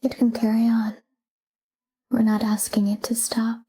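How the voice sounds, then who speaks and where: A woman guiding a sleep meditation in a calm, hushed, breathy whisper, soothing and soft. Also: fairly high pitch, a little slow.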